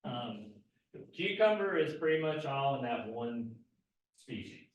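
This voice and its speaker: A soft-spoken man teaching a live class, explaining patiently with plenty of fillers and self-corrections. His voice sits at a middle pitch and does not carry. The room is very reverberant, and he is picked up from well away from the mic. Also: sing-song, takes it slowly, slight background noise. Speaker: agricultural extension agent teaching a gardening class. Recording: very echoey room, mic far from speaker.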